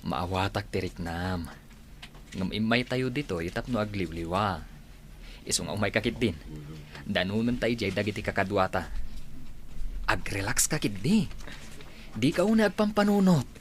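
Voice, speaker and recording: A man acts a sociable Ilocano companion in a homemade drama, encouraging in manner. His voice sits a bit low, with faint ambience behind it. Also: lively intonation, normal speed, long pauses, a little hiss or hum, lightly acted. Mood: coaxing. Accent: Filipino, Ilocano. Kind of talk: amateur audio drama acting.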